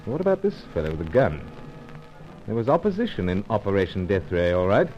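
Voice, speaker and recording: A man's voice: fairly low pitch, huge pitch swings, a little fast. An actor playing a suave British secret agent in a vintage radio thriller, clipped and understated in his delivery. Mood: dramatic narrating. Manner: clipped, understated, dropping words. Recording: muffled old radio recording, faint music.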